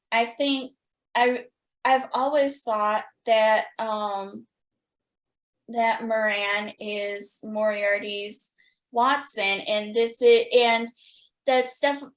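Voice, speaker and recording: A woman hosting a podcast, talking as an enthusiastic fan in a gushing, halting way. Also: mid-range voice, fairly bright tone. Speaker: podcast host interviewing guests.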